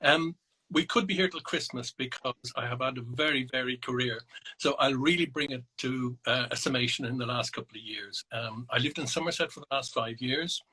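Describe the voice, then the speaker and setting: A middle-aged Irish man talks slowly and reflectively over a video call, pausing often with ums and restarting his sentences. His voice is bright and forward, with a husky, slightly breathy grain. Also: medium pitch, low-quality recording. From Ireland. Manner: thoughtful, hesitant, many ums and restarts. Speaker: psychotherapist and naturalist guest. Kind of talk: reflective interview answers.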